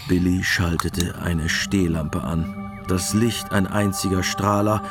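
A man with a deep voice reads the scripted narration of a radio drama. Music plays clearly beneath his words. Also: flat delivery, takes it slowly.

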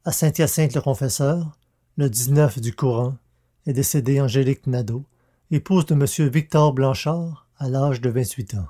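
A narrator with a mid-range voice reads prepared text in a formal podcast style. The delivery is measured and careful, like someone presenting old printed notices aloud. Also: mid-range voice, mellow. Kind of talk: scripted narration, reading archival newspaper notices.

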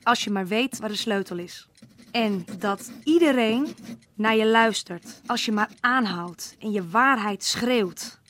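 A woman narrating a letter with slow, reflective delivery. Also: mid-range voice, fairly bright tone, slight background noise. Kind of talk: scripted letter-reading narration.

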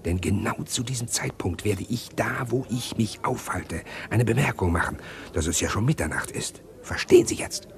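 A man acting a scheming, respectable middle-class husband in a German radio crime drama, speaking precisely and insistently in a husky voice. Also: lowish voice, animated, normal speed, few pauses. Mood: insistent, conspiratorial. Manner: precise, insistent, well-spoken.

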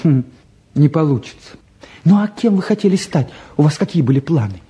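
A man acting a restless teenage lad in a radio play, with a fairly high, mellow voice. He grumbles in slang, sardonic and flippant about everything around him. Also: lively intonation, moderate pace, natural voice. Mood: cheeky. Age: young adult. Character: bored, restless, sardonic eighteen-year-old younger son. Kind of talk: naturalistic stage and radio drama acting.

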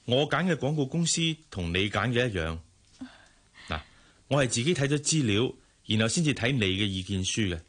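An actor playing a courteous, hardworking general manager in a radio drama, measured and polite, with a Hong Kong Cantonese accent. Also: male voice, mid-range voice, animated, fairly bright tone, slightly rough. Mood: businesslike. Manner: measured, polite, familiar with subordinate.

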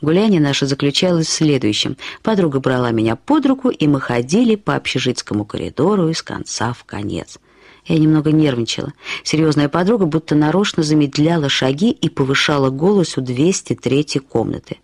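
A woman reading a literary short story as narrator, with expressive, reflective delivery. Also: low-pitched, fairly quick, dry recording, clean studio sound.

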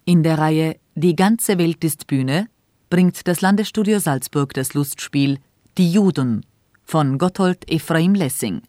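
A woman's voice: sits low, warm tone, no room sound, clean studio sound. A woman announcing the cast and credits of a radio play in a formal manner. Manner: slow, formal.

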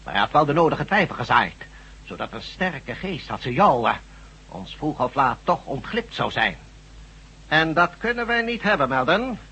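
Husky, swooping, smug villain doctor. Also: male voice, on the high side, very animated, pushed and bright, exaggerated voice. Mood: smug, gloating. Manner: deliberate, condescending, theatrical. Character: smug, menacing alien god posing as a doctor. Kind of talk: radio drama acting.

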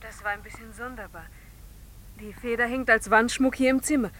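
An actress playing a polite doctor's wife answering the telephone in a German radio play, with courteous manners. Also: female voice, a little high, steady tone, normal speed, fairly bright tone.

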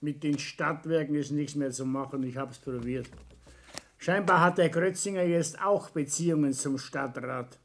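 An actor playing a stubborn, gruff, ailing older businessman with a Bavarian accent, grumbling bluntly in a 1960s radio play. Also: a little high, normal speed, close to an everyday voice. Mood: gruff, matter-of-fact. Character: stubborn, gruff ailing family businessman who denies his illness. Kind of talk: naturalistic 1960s German radio play acting.

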